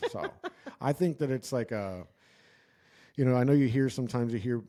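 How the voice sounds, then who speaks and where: A young American man talks loosely on a podcast, circling back through his thoughts with plenty of fillers. His voice is dark and mellow, sitting at an ordinary middle pitch for a man. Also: moderate pace. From United States. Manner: rambling, joking, many fillers and restarts. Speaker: podcast co-host. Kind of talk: casual chat.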